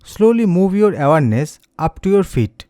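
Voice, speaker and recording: A man leading a slow guided meditation as an instructor, speaking in a gentle, soothing, scripted manner. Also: high voice, big pitch swings, dry recording, clean studio sound.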